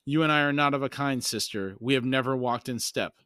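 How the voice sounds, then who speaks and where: A weary, stern undead warrior read by a man talks in terse, formal fantasy audiobook lines. The voice is mid-pitched and the sound is very dry. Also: fairly quick, sharp tone, clean tone, dry recording, natural voice.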